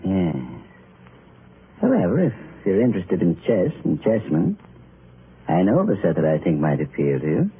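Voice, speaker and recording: A courteous, mysterious old-time radio shopkeeper, speaks in a deep voice that swoops with sly intent. His formal, insinuating lines come through a thin, noisy vintage broadcast. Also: huge pitch swings, normal speed, pauses often. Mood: courteous, intriguing. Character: courteous, sly, mysterious male pawnshop keeper. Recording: noisy, narrow-band old radio recording.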